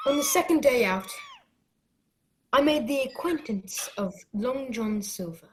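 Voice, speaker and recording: Earnest boy adventurer voiced by a woman, fairly high voice, very lively intonation, radio play narration. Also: unhurried, background noise. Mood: earnest, wondering. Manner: earnest, storytelling. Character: earnest young cabin boy recalling his adventure.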